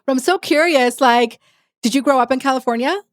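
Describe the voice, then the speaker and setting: A woman interviewer with a somewhat high voice who talks in a relaxed, chatty way. She grew up in Texas, learned Yiddish before English, and asks eager follow-up questions full of "like" and "you know.". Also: fairly quick. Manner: curious, eager questions, many fillers. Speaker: podcast host. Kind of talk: casual conversational interview.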